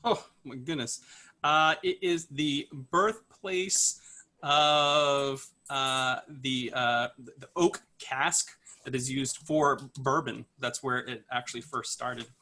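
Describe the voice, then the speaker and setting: A man in his thirties, improvising comic answers haltingly on a video call. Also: mid-range voice, steady tone, sharp tone. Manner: hesitant, halting delivery. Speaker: panel show regular. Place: indoor room, on a video call.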